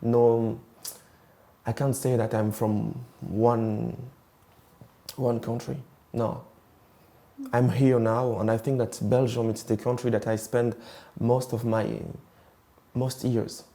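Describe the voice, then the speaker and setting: A man's voice: mid-range voice, flat delivery, slow pace, warm tone. A man in his twenties from Rwanda, answering interview questions hesitantly and searching for words. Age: 20s. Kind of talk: personal interview, switching languages.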